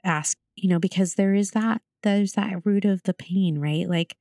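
A woman's voice: medium pitch, unhurried, mellow, dry recording, clean studio sound. A woman hosting a podcast, chatting casually and reflectively and affirming her guest as she talks. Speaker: podcast host. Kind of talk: casual, reflective interview chat.

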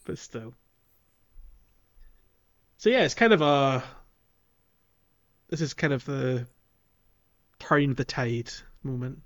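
A man's voice: mid-range voice, animated, takes it slowly, long pauses, fairly bright tone, lo-fi sound. A man co-hosting a podcast, chatting casually about a video game. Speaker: podcast host.

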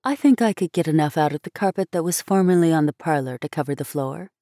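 A woman reading aloud, voicing a patient, gentle mother in a storybook. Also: low-pitched, fast, no echo, studio quality. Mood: calm. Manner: calm, reflective.

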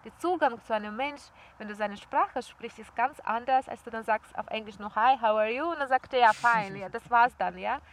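A young woman tells stories in a lively, chatty way. Her voice sits a little high. A faint hum of outdoor noise runs underneath. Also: moderate pace, few pauses. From former Soviet Union. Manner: lively, chatty storytelling with laughs. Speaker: interviewee. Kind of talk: casual conversation. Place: outdoors, birds nearby.

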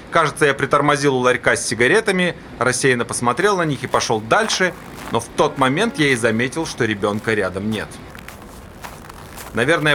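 A man's voice: medium pitch, fairly bright tone, close to an everyday voice. Guy acting a worked-up dad confessing on stage, dramatic storyteller, mid voice, bit of noise behind. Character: agitated father, an ex-smoker confessing his ordeal. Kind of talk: theatrical stage monologue. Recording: noisy live stage recording.

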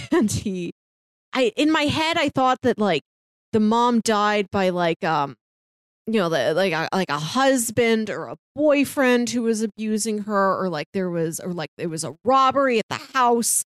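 A woman's voice: mid-range voice, animated, a little slow, dry recording, studio quality. A woman co-hosting a casual film discussion, chatty and often laughing as she talks. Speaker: podcast co-host.

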